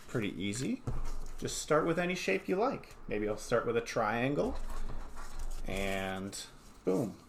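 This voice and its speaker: A man with a mid-range voice leads a hands-on craft lesson from a home studio. He speaks casually and encouragingly, dropping small asides while he works. Noticeable background noise sits under his voice. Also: medium pitch. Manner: encouraging, easygoing. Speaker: art teacher. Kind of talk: casual craft demonstration to camera. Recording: clear background room noise.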